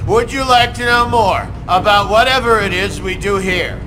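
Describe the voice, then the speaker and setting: A man's voice: sits high, normal speed, background noise, lightly acted. An actor playing a disguised henchman posing as a factory employee in a video game, stilted and falsely pleasant. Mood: fake friendly.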